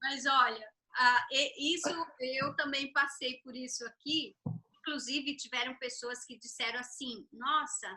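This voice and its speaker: A middle-aged Brazilian woman hosting a casual interview, telling lively stories and getting louder as she recounts them. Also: sits high, unhurried, low-quality recording. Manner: lively storyteller, rising loud when recounting anecdotes. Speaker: host.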